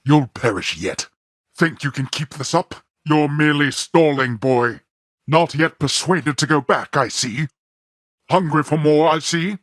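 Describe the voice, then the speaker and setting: A man's voice: measured pace, fairly bright tone, dry recording. An actor playing a stern, proud god of the dead who scorns his son, speaking with cold, imperious contempt in video game voice lines. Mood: furious. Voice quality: deep, raspy, gravelly. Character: stern proud male god of the dead, a harsh disapproving father. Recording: dry close studio recording.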